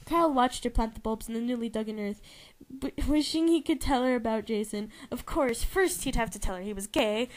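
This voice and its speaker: A young adult woman giving an informal, giggly dramatic reading of a novel, tripping over words and correcting herself as she narrates. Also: a little high. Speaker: amateur reader and narrator.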